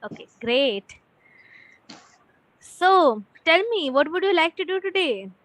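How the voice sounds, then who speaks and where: A woman's voice: very high voice, lively intonation, slow pace, fairly bright tone, no room sound, low-quality recording. A woman with an Indian accent, an English teacher chatting casually and encouragingly with a student through simple questions. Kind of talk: casual conversation practice.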